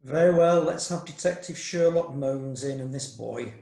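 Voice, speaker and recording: Creaky, doddery old magistrate played by a man. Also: mid-range voice, normal speed, dark tone, close to an everyday voice. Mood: blustering. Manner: hesitant, stammering, pompous. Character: doddery, hard-of-hearing old male magistrate, blustering and nostalgic. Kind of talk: comic radio play acting. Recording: roomy, echoing sound.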